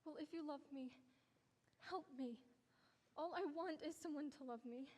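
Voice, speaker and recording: An actress playing a frightened young bride who pleads desperately and is crying. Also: female voice, sits high, normal speed, darkish tone, lightly acted. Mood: pleading. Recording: reverberant old film soundtrack.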